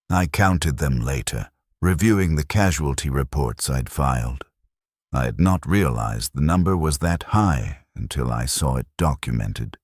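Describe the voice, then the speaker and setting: A man narrating a somber first-person war story in a grave, measured way, with short clipped declarative sentences. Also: rumbling low, a little mellow, slightly rough, no echo, studio quality. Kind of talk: scripted dramatic narration, somber military fiction.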